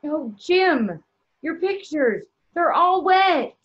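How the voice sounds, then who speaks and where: A woman's voice: high voice, very animated, slow pace, heavily acted. A woman playing an anguished, hesitant young wife in a stage play table read. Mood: anxious concern. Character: Linda, anguished young woman torn over leaving her husband. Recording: dull lo-fi video call audio.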